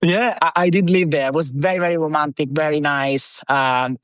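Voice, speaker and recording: Italian guy on a podcast, slightly higher voice, dry close sound, rambly with lots of ums. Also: steady tone, moderate pace, fairly bright tone, clean tone, no echo. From Italy. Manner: loose, rambling, with many fillers and restarts. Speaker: podcast guest. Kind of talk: casual interview chat.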